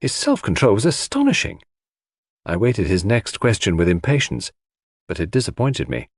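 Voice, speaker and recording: A man's voice: lowish voice, very animated, moderate pace, no echo, studio quality. A man reading scripted first-person mystery narration as an audiobook narrator.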